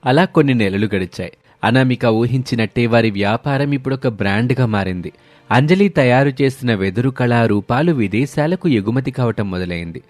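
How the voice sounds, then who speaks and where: A man's voice: mid-range voice, animated, normal speed, a little mellow, dry recording. A man narrating a scripted moral story as a storyteller. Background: music.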